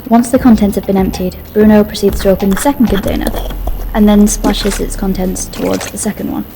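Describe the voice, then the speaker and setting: A woman's voice: mid-range voice, steady tone, brisk, background noise. A woman giving scripted narration for a horror story as its narrator. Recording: music behind the voice.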